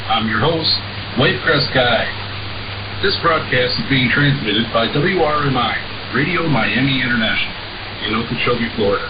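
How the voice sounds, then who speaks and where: A man hosting an informal shortwave radio broadcast, reading announcements in a halting way with frequent uhs and pauses. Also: mid-range voice. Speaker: radio host. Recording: hissy, muffled shortwave radio reception.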